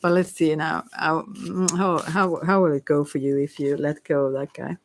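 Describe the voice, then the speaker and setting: A woman's voice: deep voice, fairly even intonation, normal speed, a little hiss or hum. A middle-aged woman giving a casual, rambling tarot reading in a hesitant, candid, digressive way. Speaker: tarot reader.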